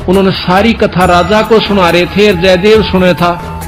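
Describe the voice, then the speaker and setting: A man's voice: high voice, steady tone, normal speed, over music. A man with a Haryanvi accent preaching through a folk story, telling it colloquially and voicing the dialogue as reported speech. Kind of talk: religious discourse told as a folk story.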